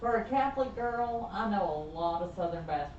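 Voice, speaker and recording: A woman's voice: mid-range voice, expressive, normal speed, a little mellow, slight background noise. A woman in a painting workshop making self-deprecating jokes in casual chat. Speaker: workshop student. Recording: roomy, far from the mic, dull.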